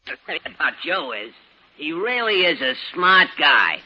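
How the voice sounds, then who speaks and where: A wry wisecracker in a vintage radio drama. His voice is fairly high and intensely sharp, with lively pitch swings, on a dull old recording. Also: a little slow, faint music behind, full character voice. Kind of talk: 1950s radio drama acting.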